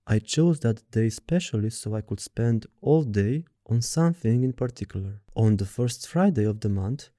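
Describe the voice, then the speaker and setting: Romanian-accented man, mellow, reading a script. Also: lowish voice, no echo, clean studio sound. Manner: reads a prepared script in an even, deliberate way. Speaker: podcast host. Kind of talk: scripted podcast monologue.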